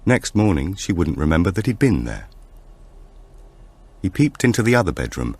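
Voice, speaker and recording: A man narrates a thriller audiobook in a very deep voice. His delivery is measured and dramatic, with pitch that moves expressively across the sentences. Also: big pitch swings, a little mellow, slightly rough, no echo.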